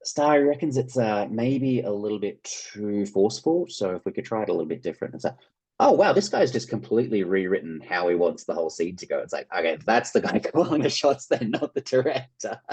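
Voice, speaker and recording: An Australian man chatting casually as an interview guest, telling anecdotes in an animated way and acting out quoted remarks. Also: lowish voice, brisk, husky. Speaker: interview guest, actor and writer.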